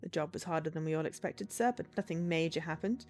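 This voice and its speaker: A woman's voice: deep voice, quick pace, darkish tone, lightly acted. A woman voicing a guarded, dutiful female agent in a cyberpunk visual novel, curt, over music. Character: cool, guarded female android-hunting agent, tired of her job. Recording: music behind the voice.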